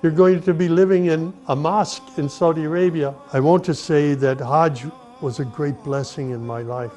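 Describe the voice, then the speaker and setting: A middle-aged man from the United States, earnestly telling his own life story at an unhurried pace, with pauses and restarts. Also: on the high side. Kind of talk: personal testimony, reflective storytelling. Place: Saudi Arabia. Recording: soft music behind the voice.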